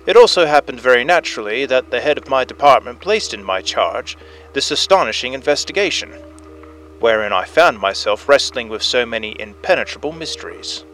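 A man playing an earnest head police inspector, narrating a storybook tale in formal, measured fashion, as if on an old phonograph. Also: medium pitch, fairly bright tone, low-quality recording. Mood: earnest, solemn. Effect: old phonograph recording. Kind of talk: dramatic first-person storybook narration. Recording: narration over music, phonograph effect. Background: music.

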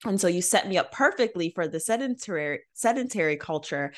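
A woman's voice: on the low side, animated, brisk. A woman hosting a casual interview, enthusiastic and chatty, with many fillers. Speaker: podcast host.

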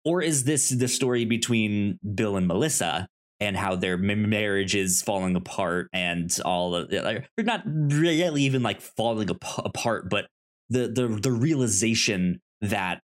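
A man with a fairly low voice talks through a film as a podcast co-host. His delivery is casual and halting, with stammered starts and repeated words as he works out his point.

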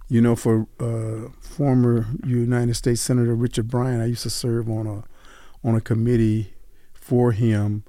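An older man hosts a community radio talk show, his voice mellow and dark in a dry, close studio sound. He talks in a relaxed, chatty way and laughs easily with his guest. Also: medium pitch, a little slow, no echo. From Las Vegas. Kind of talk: casual radio interview.

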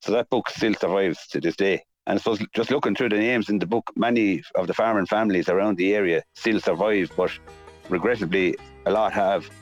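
A man with a south-east Irish accent explaining things unscripted in an interview, in measured, halting short phrases. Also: deep voice, lo-fi sound, faint music behind. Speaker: mill restorer, interview guest.

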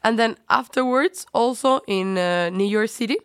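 A woman's voice: on the high side, moderate pace, clean tone, no room sound, clean studio sound. A young woman from Spain with a Spanish accent, explaining things informally as a podcast guest. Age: young adult. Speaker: guest, student.

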